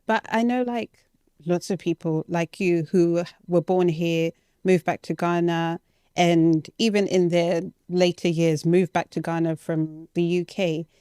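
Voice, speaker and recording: A woman's voice: lowish voice, fairly even intonation, measured pace, mellow, clean tone, dry recording, clean studio sound. A woman interviewer speaking conversationally, putting informal, loosely phrased questions to her guest.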